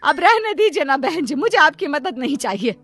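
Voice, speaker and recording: A woman's voice: high voice, sing-song, normal speed, a bit bright, soft background music. A woman voicing a doting, self-pitying middle-aged mother in a Hindi cartoon moral story, fussing and pleading melodramatically. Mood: pleading, protective.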